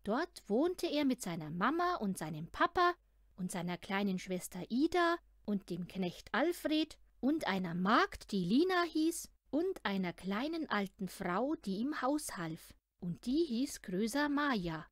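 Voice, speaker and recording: Lady reading a kids story, unhurried, expressive, talks right to the child, close dry sound. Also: on the high side, dry recording. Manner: expressive, addresses the child listener. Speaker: audiobook reader. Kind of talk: scripted children's story narration.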